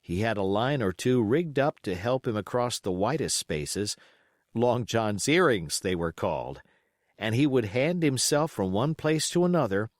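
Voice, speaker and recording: Man, audiobook narration, expressive storytelling, animated pitch movement, medium-pitched voice, dry recording. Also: no room sound. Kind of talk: scripted literary narration.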